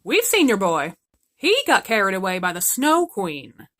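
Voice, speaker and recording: A woman's voice: mid-range voice, very animated, measured pace, fairly bright tone. A woman voicing a brash, crude-talking flock of pigeons.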